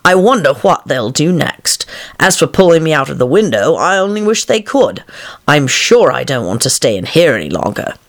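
A woman's voice: low-pitched, big pitch swings, moderate pace, no echo, clean studio sound. A woman voicing a curious young girl musing to herself in a storybook reading. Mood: wondering.

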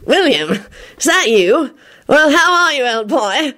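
A woman's voice: a little high, big pitch swings, moderate pace, a bit bright, voice pushed up. A woman voicing a pompous, self-important, officious man in a storybook, with snooty, old-fashioned formality. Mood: hearty.

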